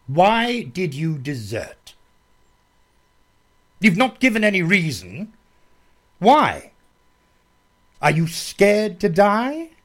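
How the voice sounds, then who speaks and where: A man's voice: high voice, heavily acted. A man playing a ruthless, menacing army captain in a radio play, sneering and threatening. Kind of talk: radio drama acting.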